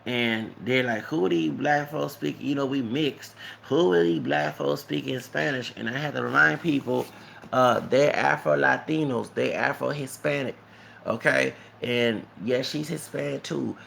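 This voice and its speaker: A man's voice: mid-range voice, measured pace, vocal fry, fairly bright tone, slight background noise. A man telling stories in a casual, rambling vlog monologue, often repeating words, tagging lines with "okay?" and slipping into Spanish. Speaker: vlogger.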